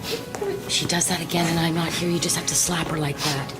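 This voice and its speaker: An actress plays a tough, defiant captive in a radio drama. Her voice is low, her manner blunt and urgent, and music plays behind her. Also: fairly even intonation, a little fast, natural voice. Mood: firm. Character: tough, blunt, defiant young woman prisoner.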